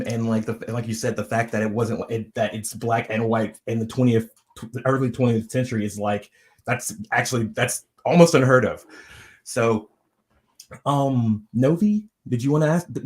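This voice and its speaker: Rambling man hosting an interview. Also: mid-range voice. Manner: rambling, filler-heavy, enthusiastic. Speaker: podcast host. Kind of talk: casual video-call interview. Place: home room indoors. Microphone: headset on a video call.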